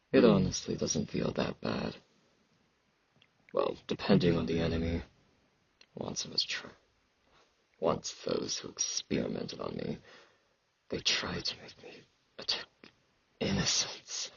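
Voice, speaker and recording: A man acts out a gruff, aloof knight bred for war in an ASMR fantasy roleplay. His deep voice is heavily creaky and husky, with sibilant S sounds. He talks in terse, halting phrases, socially awkward and low-key, close to the mic in a slightly dull recording. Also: no room sound, exaggerated voice. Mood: resigned, stoic. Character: gruff, aloof young battle-hardened genetically modified fantasy knight.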